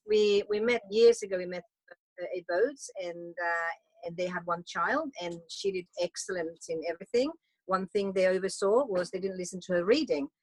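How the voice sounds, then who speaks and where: A woman's voice: lowish voice, moderate pace, no room sound, poor audio. A woman from Finland, a Swedish-speaking Finn, chatting casually as a guest about homeschooling, often restarting her sentences. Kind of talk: casual conversation.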